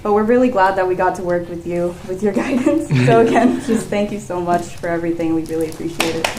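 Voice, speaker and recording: A woman's voice: lowish voice, fast talker. A young woman giving an informal thank-you speech in an echoey room with background noise. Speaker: student cast member giving thanks.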